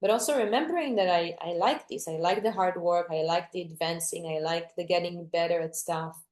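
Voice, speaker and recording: A woman's voice: fairly low pitch, brisk, a little mellow. A young woman from Greece, a dancer, talking reflectively in an interview, often restarting and searching for words. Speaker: guest dancer.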